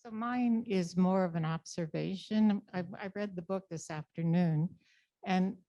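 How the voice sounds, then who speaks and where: Female audience member. Low pitch, tentative and conversational, dull lo-fi recording. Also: measured pace, dark tone. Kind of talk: informal spoken comment. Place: live library event room. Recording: dull lo-fi event audio.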